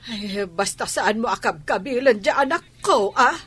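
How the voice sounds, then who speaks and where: A woman's voice: a little high, lively intonation, a little slow, fairly bright tone, slightly rough, no room sound, full character voice. A woman playing a protective, worried mother in a Filipino radio drama. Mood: protective, firm. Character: protective, worried mother standing up to her husband.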